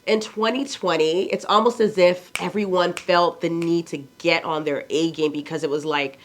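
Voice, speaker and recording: A woman's voice: fairly low pitch, fairly even intonation, moderate pace. Blunt Nigerian-accented woman in her forties. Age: 40s. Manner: blunt, candid, chatty with rhetorical questions. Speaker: fashion vlogger. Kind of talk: casual chat to camera.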